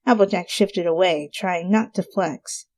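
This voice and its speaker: A woman's voice: on the low side, unhurried, a little mellow. A woman giving a scripted dramatic reading of a story as its narrator.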